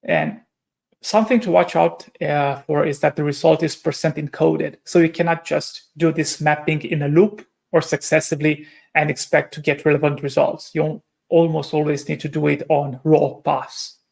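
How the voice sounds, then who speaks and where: A man's voice: on the high side, fairly even intonation, moderate pace, gravelly. A man from Romania, giving a technical conference presentation and explaining step by step with frequent hesitations and self-corrections. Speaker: conference speaker.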